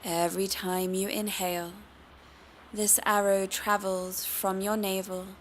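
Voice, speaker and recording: Woman, meditation teacher, calm instruction, very unhurried pace, mid-range pitch, light background ambience. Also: slight background noise. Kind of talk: guided meditation.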